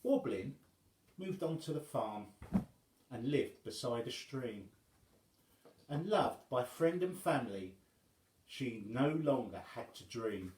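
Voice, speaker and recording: A man reading a rhyming children's story aloud as an expressive storyteller. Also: mid-range voice, slow pace, pauses often, reverberant.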